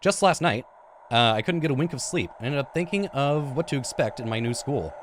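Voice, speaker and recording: A man's voice: mid-range voice, lively intonation, a little fast, a little hiss or hum. A man in his thirties reading a visual novel aloud as a let's play host, in a dramatic storytelling way with casual asides and stumbles. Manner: dramatic storytelling read with stumbles and self-corrections. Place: indoor room at a gaming setup. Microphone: close desk microphone with headset. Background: game music.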